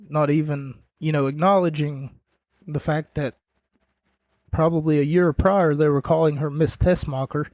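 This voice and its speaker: Slow-talking, slightly hoarse male podcaster. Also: on the high side, a little mellow, no echo. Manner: rambling, sarcastic asides, self-correcting. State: starting to lose his voice. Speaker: podcast host reviewing wrestling matches. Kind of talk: casual solo podcast recap.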